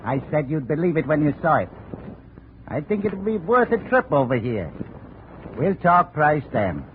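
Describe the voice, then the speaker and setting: A man's voice: a little high, very animated, moderate pace. An actor playing a shady, self-assured private investigator in a 1950s radio detective drama, sly and clipped as he bargains. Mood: sly persuasion. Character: shady male private investigator, coolly baiting a blackmail deal. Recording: narrow, tinny, hissy old radio recording.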